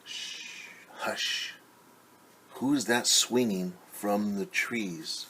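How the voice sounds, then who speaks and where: A man voicing an anxious mother from a storybook, pleading in a sing-song, drawn-out way with hushed shushing for quiet around her baby. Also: mid-range voice, animated, slight background noise. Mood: hushed, wary. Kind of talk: storybook read-aloud, rhythmic refrain.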